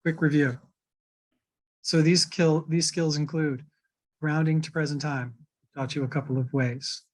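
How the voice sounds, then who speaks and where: A man teaching an online workshop on personal energy, calm and reassuring as he reviews grounding skills. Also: fairly high pitch, a little slow. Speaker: workshop instructor.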